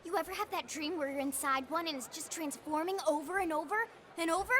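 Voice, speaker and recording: A young woman playing an excitable, geeky mech enthusiast in a video game, with a chatty, informal manner. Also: extremely high pitch, a little fast. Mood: gushing, starstruck. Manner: gushing, informal, bubbly. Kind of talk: English video game cutscene acting.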